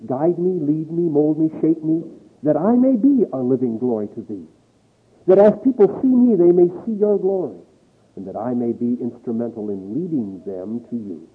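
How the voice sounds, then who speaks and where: A husky-voiced man preaches with swelling emphasis, reading scripture between his points. The sound is thin and tinny, like an old recording over a phone line. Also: medium pitch, expressive, normal speed. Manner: emphatic preaching with rising and falling intensity, reading scripture aloud. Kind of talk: sermon.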